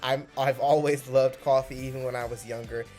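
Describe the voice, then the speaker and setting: A young man from Cleveland, a university freshman, earnestly reflecting on a class project with bursts of quick speech. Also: medium pitch, little pitch movement, a little mellow, faint music behind. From Cleveland, Ohio, United States. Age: young adult. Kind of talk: reflective video monologue.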